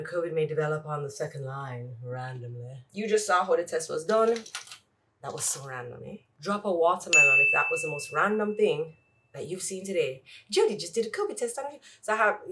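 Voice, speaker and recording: A sniffly, congested woman chats casually in her closet while doing her makeup. She rambles and jokes to herself in a lowish voice. She sits distant from the mic. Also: pushed and bright, slight background noise. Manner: rambling, joking, self-talk asides. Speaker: vlogger. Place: home closet. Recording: voice from far off the mic.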